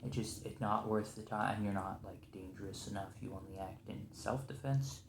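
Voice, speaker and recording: A man acting a stressed, weary captive special agent in an ASMR roleplay, rambling, halting and apologetic as he pleads, in a roomy recording. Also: lowish voice, steady tone, gravelly, noisy background. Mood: anxious, negotiating. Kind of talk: ASMR roleplay monologue, improvised conversational acting.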